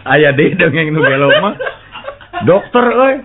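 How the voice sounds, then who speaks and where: A Sundanese man with a Sundanese accent, telling comic folk jokes and breaking into laughter and high character voices. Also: lively intonation, measured pace, very noisy. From West Java, Indonesia. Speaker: comic storyteller. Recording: tinny phone-like sound with a live crowd.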